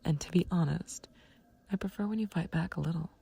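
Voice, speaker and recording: A woman playing a smug, taunting, playfully flirtatious villain in an ASMR roleplay, with a husky, breathy low voice and a teasing, unhurried, condescending manner. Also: mellow, heavily acted. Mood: teasing, reluctant bargaining. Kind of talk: ASMR roleplay audio drama.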